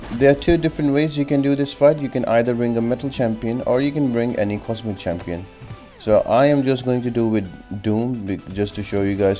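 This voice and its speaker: A man with a fairly low, soft-edged, mellow voice talks through a video game guide. He explains each move step by step in a casual, instructional way, with music playing behind him. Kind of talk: casual gameplay tutorial commentary. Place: voice-over on gameplay video.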